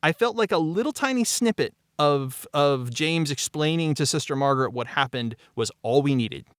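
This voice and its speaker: Clear-voiced man telling stories casually. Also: on the high side, lively intonation, normal speed, no room sound, clean studio sound. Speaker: voice actor and audio drama creator. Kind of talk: casual behind-the-scenes cast discussion.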